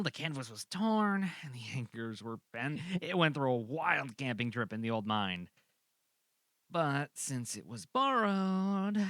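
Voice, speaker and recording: Man, audio drama narrator, medium pitch, lively intonation, informal amateur reading, dry recording. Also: dry recording.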